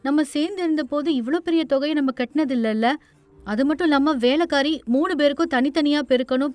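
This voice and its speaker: A woman's voice: high-pitched, darkish tone, natural voice. A woman voicing a worried, money-minded young wife, complaining in a family moral story. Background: faint music.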